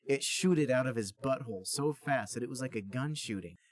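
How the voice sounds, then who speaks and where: A man's voice: mid-range voice, moderate pace, a loud crowd. A man co-hosting a podcast, reading a story aloud in a mock-serious, dramatic comic style. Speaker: podcast co-host and reader.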